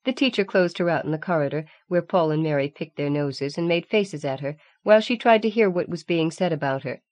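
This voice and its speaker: A woman's voice: deep voice, a little fast, a little mellow, no echo. A woman reading scripted fiction as an audiobook narrator. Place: dry studio voice recording.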